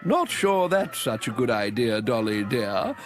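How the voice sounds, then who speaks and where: A man voicing a calm, scheming woman librarian who speaks in a low, measured, dry way. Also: faint music behind.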